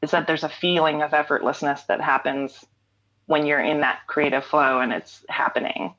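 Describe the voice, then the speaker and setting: A woman's voice: sits low, fairly even intonation, normal speed, fairly bright tone, lo-fi sound. A woman in her late thirties, a singer-songwriter guest in an interview, talking thoughtfully with frequent "um" and "like" and easy laughter. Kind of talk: reflective conversational interview.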